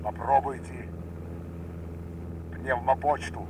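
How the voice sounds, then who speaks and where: An actor playing a wounded, blinded bomber commander in a war radio drama, giving halting, strained orders while fighting pain. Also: male voice, mid-range voice, level tone, natural voice. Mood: strained, commanding. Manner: strained, halting commands, swinging from cries to whispers. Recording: noisy radio play with engine hum.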